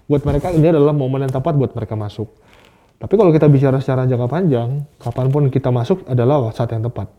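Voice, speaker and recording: A man's voice: medium pitch, quick pace, mellow, muffled recording. A man, speaking as a guest expert in a casual interview, explaining through rhetorical questions and examples. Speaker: guest expert on stock market investing.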